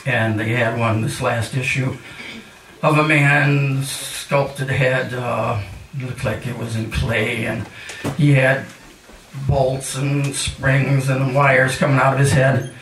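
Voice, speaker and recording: An older man reading poetry live and chatting between pieces with wry, mock-highbrow humour. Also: flat delivery, slow pace, fairly bright tone. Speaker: featured poet at a reading. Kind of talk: live poetry reading with casual rambling introductions. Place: indoor cafe poetry reading. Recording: echoey room, distant mic, hissy noise.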